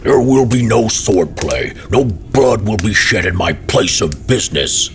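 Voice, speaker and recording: A man voicing a gruff, gravelly tavern keeper, stern and unyielding, in a fantasy story. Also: lowish voice, sing-song, a little slow, voice pushed up.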